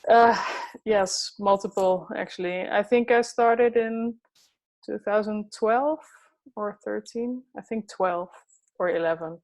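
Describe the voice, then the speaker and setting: A woman's voice: mid-range voice, poor audio. Hesitant Dutch woman co-host. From Netherlands. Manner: hesitant, many fillers and pauses. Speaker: podcast co-host, coach. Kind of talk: casual chat.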